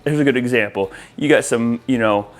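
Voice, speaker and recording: A man's voice: medium pitch, a little fast. Casual man presenting a hands-on install. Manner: informal, addresses viewers as guys. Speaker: audio-video installer presenting to viewers. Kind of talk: casual product demo and install walkthrough.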